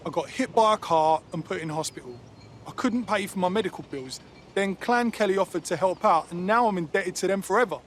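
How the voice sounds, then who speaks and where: A man plays an anxious civilian pleading for help in a high, strained voice. Faint street noise sits behind him. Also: brisk, close to an everyday voice. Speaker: indebted civilian asking for help. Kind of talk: video game cutscene dialogue.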